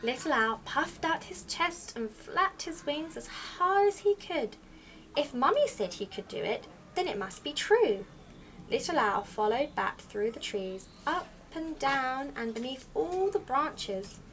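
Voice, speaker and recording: A woman reading a children's storybook aloud as an expressive storyteller. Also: high-pitched, unhurried, poor audio. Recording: faint background noise.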